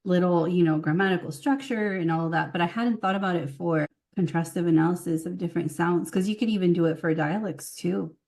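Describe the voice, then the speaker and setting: A woman's voice: fairly low pitch, brisk, creaky, few pauses, darkish tone. A woman with a Californian accent, telling anecdotes in a casual, conversational interview as a guest speech-language pathologist.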